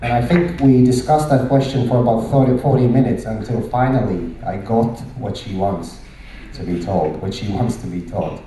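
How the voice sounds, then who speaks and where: A man's voice: lowish voice, moderate pace, dark tone, slight background noise. A middle-aged man from Latvia with a Latvian accent, giving a conference presentation in emphatic short phrases with rhetorical questions. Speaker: conference speaker. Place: large conference hall, indoors. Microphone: handheld microphone. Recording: very echoey hall, distant sound.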